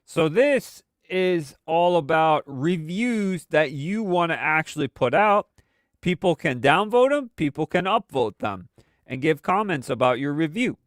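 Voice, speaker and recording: A man's voice: on the high side, expressive, measured pace, no room sound, clean studio sound. A man hosting a weekly live stream with casual, rambling commentary full of fillers. He sounds congested from a cold he is still getting over. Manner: rambling with many fillers and restarts.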